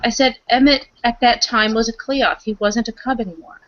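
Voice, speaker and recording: Female gamer, fairly high voice, casual player talk, dull recording. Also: normal speed. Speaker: tabletop roleplay player. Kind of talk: casual interjecting game chat. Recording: muffled, dull lo-fi audio.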